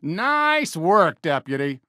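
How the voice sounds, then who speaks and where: A man's voice: on the high side, very animated, slow pace, pushed and bright, no room sound, studio quality, exaggerated voice. A man voicing a friendly, folksy American toy cowboy sheriff in a video game, eager and encouraging with a cowboy drawl. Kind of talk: video game character voice lines.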